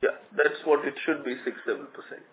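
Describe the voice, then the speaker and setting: A man's voice: on the high side, a little fast. A man speaking as a company executive, answering analysts' questions on a conference call. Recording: tinny phone line with clear noise.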